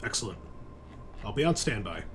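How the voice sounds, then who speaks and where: A man's voice: lowish voice, big pitch swings, moderate pace, noisy background. A man playing a helpful, practical ship crewman reporting hesitantly and apologetically in a sci-fi roleplaying game. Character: helpful ship crewman named Gray reporting over comms.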